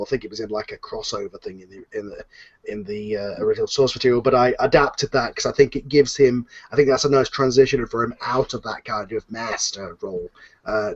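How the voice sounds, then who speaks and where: A man's voice: medium pitch, husky. Man hosting in dull lo-fi audio. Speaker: host and series creator. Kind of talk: casual panel Q&A hosting. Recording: dull lo-fi online call audio.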